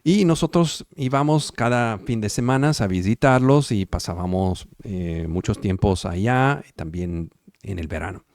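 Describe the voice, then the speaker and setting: A man's voice: lowish voice, animated, a little slow, darkish tone, dry recording, clean studio sound. Emphatic Italian-accented preacher man. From Italy. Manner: emphatic, repetitive, asks the audience if they follow. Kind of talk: sermon over video call.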